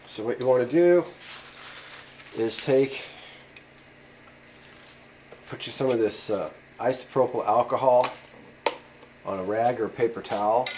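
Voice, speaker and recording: A man's voice: mid-range voice, unhurried, pauses often, a little mellow, a little hiss or hum, poor audio. Rambling man in a workshop. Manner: rambling, many fillers and restarts. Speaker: channel host demonstrating a repair. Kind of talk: casual how-to talk. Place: home workshop bench.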